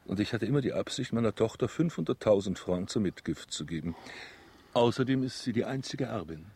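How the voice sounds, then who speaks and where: An actor playing a greedy banker in a radio drama, with a rough, husky voice and a pompous, ingratiating manner. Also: male voice, fairly low pitch, expressive, fairly quick, a little mellow, full character voice. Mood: businesslike. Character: greedy, pompous male banker, father of a bride. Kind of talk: German radio drama acting.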